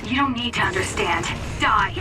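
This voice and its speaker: A woman's voice: mid-range voice, moderate pace, over loud music, close to an everyday voice. An actress playing a grimly resolved young female psychic fighter in an English video game, speaking tersely and intensely. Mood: grim resolve.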